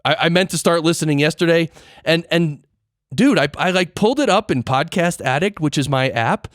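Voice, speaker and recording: A man's voice: fairly high pitch, a little fast, no room sound, clean studio sound. A man hosting a podcast in casual interview chat, playful and slangy, given to exclamations. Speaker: podcast host.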